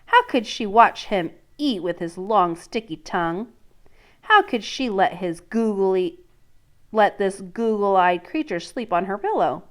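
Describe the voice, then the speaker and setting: A woman teacher reading a children's story aloud in an expressive, deliberate way, pausing to prompt and question young listeners. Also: medium pitch.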